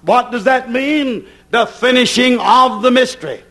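A male preacher with an extremely high-pitched voice delivers a fervent end-times sermon. He hammers key phrases over and over in an incantatory way, often posing questions and answering them himself. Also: a little slow, bright, forceful tone. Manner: emphatic, repetitive, incantatory phrasing.

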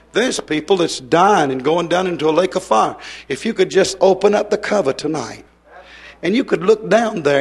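A hoarse man preaching a fiery revival sermon, hollering and then dropping soft. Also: high-pitched. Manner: hollering, swings from loud to soft.

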